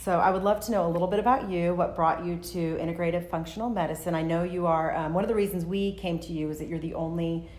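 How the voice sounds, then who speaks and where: A woman's voice: lowish voice, fairly even intonation, quick pace, hardly any pauses, a little mellow, a little hiss or hum. A woman hosting a health interview show, chatty and friendly, giving the intro and asking questions. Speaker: host and health coach.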